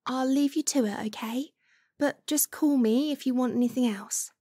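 A woman playing a doting, teasing motherly figure in a soft-spoken ASMR roleplay, coaxing and playful with breathy near-whispers. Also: high voice, normal speed, no echo. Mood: caring.

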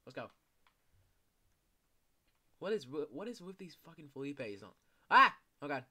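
A young man giving casual, excitable commentary while playing a video game, swearing often and yelling when chased. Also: a little high, measured pace. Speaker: gameplay commentator. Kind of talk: casual live gameplay commentary.

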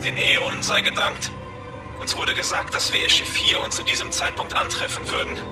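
A man's voice: a little fast, close to an everyday voice. A man playing a solemn, devout alien lizard captain in a sci-fi audio drama, formal, with a hissing, whispery voice. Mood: urgent, solemn. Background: loud music.

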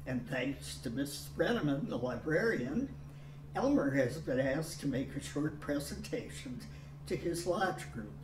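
A man's voice: on the high side, background noise. An older man narrating and announcing in a formal, old-time radio style. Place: small studio with a draped backdrop. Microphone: stand mic. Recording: echoey, distant room sound.

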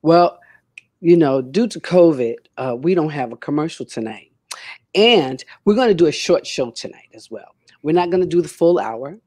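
A woman's voice: deep voice, lively intonation, normal speed, darkish tone, no echo. Older woman hosting, dry close sound. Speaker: talk show host. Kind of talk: casual panel chat. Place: home room, indoors, on a video call.

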